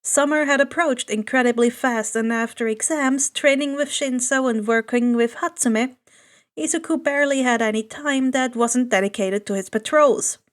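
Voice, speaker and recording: A woman's voice: high voice, normal speed. A woman reading a story aloud as an audiobook narrator. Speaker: podfic narrator.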